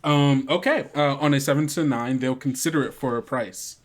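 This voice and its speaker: A game master narrating an improvised tabletop game casually, haltingly thinking aloud. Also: mid-range voice. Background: guitar music.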